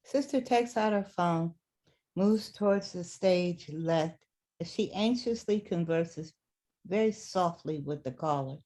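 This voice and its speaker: Slow, mellow, low-voiced woman narrator. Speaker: reader of stage directions. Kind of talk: scripted narration.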